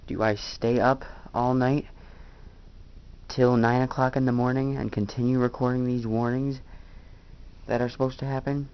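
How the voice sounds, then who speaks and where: A man's voice: medium pitch, level tone, normal speed, smooth. A tired man chatting casually to viewers as he narrates his own amateur video. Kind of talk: casual vlog chat. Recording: dull lo-fi home recording.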